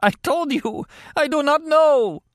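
Audiobook role: frantic injured foreigner, voice pushed very high, agonized and begging. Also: male voice, takes it slowly, no echo, studio quality. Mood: agonized, pleading. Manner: strained, agonized pleading. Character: frantic wounded foreign man, pleading in agony.

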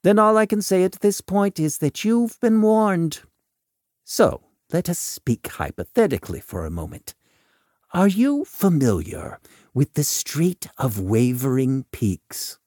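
A man's voice: on the high side, very animated, measured pace, darkish tone, dry recording, clean studio sound, voice pushed up. A man voicing an eccentric, coolly mocking doctor in an audiobook, with wordy, formal, theatrical delivery. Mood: wry, cautioning. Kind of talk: audiobook character dialogue.

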